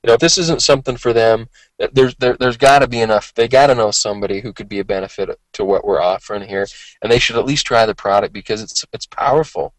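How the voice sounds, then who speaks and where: A man's voice: medium pitch, quick pace, no room sound, poor audio. A man giving a motivational sales training talk in a voice worn from a long day of talking, conversational and emphatic, often repeating himself. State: voice worn from talking all day, occasional cough.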